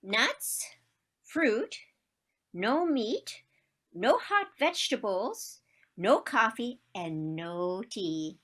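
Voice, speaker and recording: A woman's voice: on the high side, big pitch swings, extremely slow, a bit bright, full character voice. Actress as a gushing, swooping older society lady. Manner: gushing, theatrical. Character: excitable older society lady, a zealous diet faddist. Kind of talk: stage comedy acting over video call. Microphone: video call.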